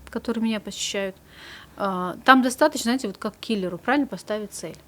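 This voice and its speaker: A woman psychologist, a guest in a studio conversation, calmly explaining and trailing off softly at the ends of her phrases. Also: mid-range voice, quick pace.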